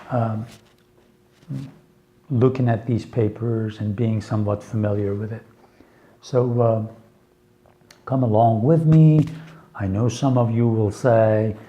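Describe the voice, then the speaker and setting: Farsi-native man, low dark voice, very slow earnest teaching delivery brief casting note. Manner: slow, earnest, hopeful, with many pauses and fillers. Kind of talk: slow lecture for English learners.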